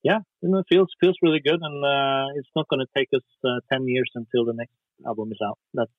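A Norwegian musician talking casually in an interview, hesitant, with lots of fillers and restarts. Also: male voice, mid-range voice, fairly even intonation, a little fast, no echo. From Norway. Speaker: musician guest. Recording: dry tinny phone line.

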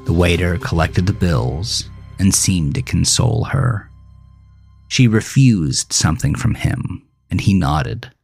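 A low male voice narrates a dark thriller story at a slow, deliberate pace. The delivery is measured and scripted, and faint music plays underneath. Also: lively intonation, vocal fry, husky, dry recording.

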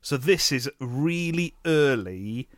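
A man's voice: medium pitch, lively intonation, slow pace, no room sound, clean studio sound. A man co-hosting a podcast, sharing trivia in casual chat and laughing at his own jokes. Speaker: podcast co-host.

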